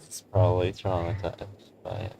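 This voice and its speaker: A woman co-hosts a game stream in casual chat. Her voice is very low and gravelly yet animated, with music clearly playing behind. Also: moderate pace, dull audio. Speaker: stream co-host.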